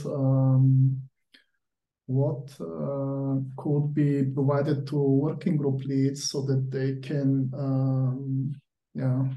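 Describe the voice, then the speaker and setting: A man chairing a work call, speaking in a hesitant, halting way as he goes through the discussion. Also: on the high side, dark tone. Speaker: meeting chair. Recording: roomy echo.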